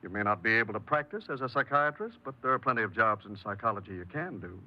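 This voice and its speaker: A man's voice: fairly low pitch, expressive, fast, natural voice. A man playing an encouraging, practical adviser in a 1950s radio drama, earnest and persuasive. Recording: muffled 1950s radio recording.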